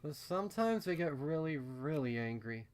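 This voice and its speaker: A man's voice: a little high, lively intonation, normal speed, lightly acted. A man voicing a nervous, rambling young female pony with a hesitant, stammering, earnest manner in a fan fiction story. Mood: uneasy, rambling. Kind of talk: acted fan fiction reading.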